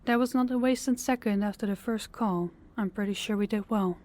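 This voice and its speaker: A woman's voice: mid-range voice, a little mellow, lightly acted. Woman acting a commanding female crime boss. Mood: composed, approving. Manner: authoritative, deliberate, clipped orders. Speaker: voice actor. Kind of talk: audio roleplay drama acting.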